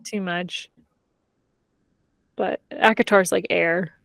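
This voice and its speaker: A woman's voice: fairly low pitch, steady tone, slow, creaky, a bit bright, no room sound. A woman chatting casually and candidly about books as a podcast co-host, leaning on "like" as she talks. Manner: informal, candid, heavy use of "like". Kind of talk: casual book chat between friends.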